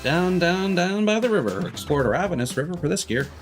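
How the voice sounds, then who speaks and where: A man giving casual live gameplay commentary, thinking out loud as he plays. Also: high-pitched, animated, a little fast. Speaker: game streamer. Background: game music.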